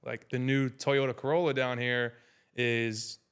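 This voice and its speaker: A young man in his twenties, from the Hudson Valley in New York, talks in a loose, slangy way that is full of "like" and "you know." His voice is clean and smooth, and it sits at a middle pitch. He sounds like a guest in a relaxed podcast chat. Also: a little slow. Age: 20s. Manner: laid-back, slangy, many fillers. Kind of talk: casual chat.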